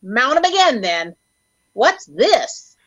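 An actress playing a shrewd, assertive young wife in an old radio comedy, brisk, insistent and skeptical. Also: female voice, sits high, very animated, a bit bright, no room sound, heavily acted. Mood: scornful, skeptical. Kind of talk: 1940s radio comedy acting. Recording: dull old radio recording.

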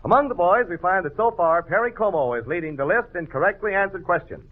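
Animated husky man announcing on old radio. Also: high-pitched, fast. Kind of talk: 1940s radio announcing, formal scripted patter. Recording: lo-fi old radio broadcast, phone-like sound.

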